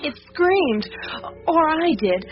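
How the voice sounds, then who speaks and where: A woman's voice: high voice, big pitch swings, slow, heavily acted. An actress playing a defiant, skeptical young woman in a radio drama, with a blunt, exasperated, sardonic manner. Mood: recounting uneasily. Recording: muffled radio recording with clicks and hiss.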